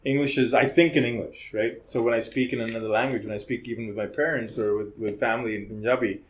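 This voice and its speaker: A man's voice: mid-range voice, fairly even intonation, fast. A man in his forties who grew up in Hong Kong, a guest in a casual podcast chat, talking freely and often ending sentences with "right?". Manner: chatty storyteller, tags sentences with "right?". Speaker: podcast guest, criminal defense lawyer.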